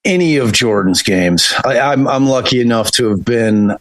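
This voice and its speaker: A man in his fifties, a defense attorney, answering interview questions in a relaxed, chatty way with frequent restarts. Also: mid-range voice, normal speed, background noise. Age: 50s. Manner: relaxed, chatty, repeats words when starting a thought. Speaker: criminal defense attorney, podcast co-host.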